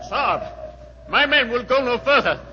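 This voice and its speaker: High, agitated mountain guide, noisy. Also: male voice, animated, unhurried. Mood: fearful warning. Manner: agitated, fearful, insistent. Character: frightened, stubborn male Tibetan mountain guide leader. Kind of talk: 1940s radio drama acting. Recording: muffled, roomy old radio, roaring storm noise.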